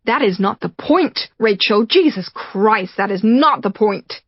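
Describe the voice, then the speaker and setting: A woman reading an audiobook, voicing a jealous, cruel young man in a low, cold, menacing tone. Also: lively intonation, unhurried, breathy edge, no echo. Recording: dull lo-fi recording.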